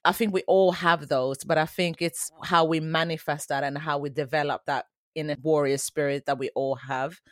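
A woman's voice: sits low, fairly even intonation, moderate pace, hardly any pauses, no echo. A woman in her forties who grew up in Sweden, a dance teacher answering interview questions in an earnest, reflective way.

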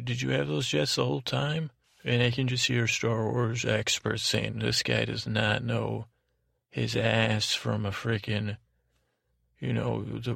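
A man with a heavily gravelly, fry-laden voice hosts a sleep podcast in a close, dry recording. He meanders through a deadpan bedtime monologue with little rise and fall in pitch, hesitating and correcting himself as he goes. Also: no room sound. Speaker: podcast host.

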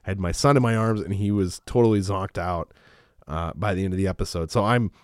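A pastor in his thirties hosts his Bible podcast with a smooth, lowish voice. He talks in a loose, rambling way, full of fillers and restarts. The sound is close and completely dry. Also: fairly quick, no echo. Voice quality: smooth, low. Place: small home studio.

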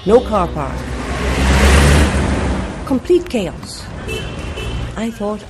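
A woman's voice: medium pitch, big pitch swings, very slow. An older woman from Ireland recalling her past in short, plain, wry sentences. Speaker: interviewee. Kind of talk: personal recollection. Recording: music bed under voice.

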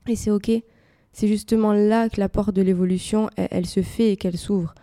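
A woman's voice: medium pitch, steady tone, fairly quick, warm tone, no room sound, studio quality. A woman podcast host with a stuffy head cold, musing aloud in a casual personal monologue with many hesitations. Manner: reflective, rambling, many hesitations. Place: indoors, air-conditioned room.

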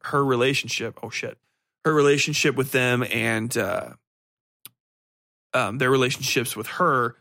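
A man's voice: medium pitch, creaky, bright, forceful tone, no echo, clean studio sound. A man hosting a solo podcast, giving casual review commentary in a rambling, think-aloud way with some casual swearing. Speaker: podcast host.

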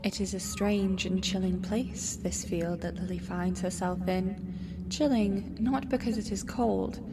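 A woman narrator reading a scripted fantasy story in the present tense, in a measured, atmospheric way. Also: medium pitch, fairly even intonation. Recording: clear background noise and ambience.

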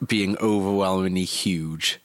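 A man's voice: sits low, fairly even intonation, unhurried, dry recording. A young man, chatting casually and informally as an interview guest, recalling his life with some swearing. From Cardiff, Wales, born in Greece. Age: young adult. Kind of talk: casual chat, personal recollection.

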